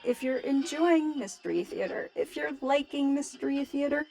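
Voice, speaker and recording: A woman's voice: fairly high pitch, vocal fry, darkish tone, poor audio. A woman announcer giving a scripted, friendly promotional read addressed straight to listeners.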